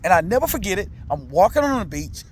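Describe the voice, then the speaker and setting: A man with a rather high voice tells his own life story in loose, slangy, animated talk. He circles back, repeats phrases, and keeps checking that the listener follows. A faint noise sits under the recording. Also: fast. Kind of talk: candid testimonial talk.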